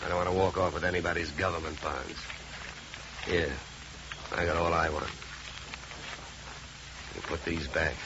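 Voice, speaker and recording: A man's voice: low voice, little pitch movement, moderate pace, pauses often. An American man playing a wry, hard-boiled private detective with clipped, tough talk in a 1950s radio drama. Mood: tough, wary. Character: wisecracking hard-boiled male private detective, cool and wary. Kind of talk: 1950s radio drama acting, first-person noir narration. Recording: muffled, very noisy 1950s radio recording.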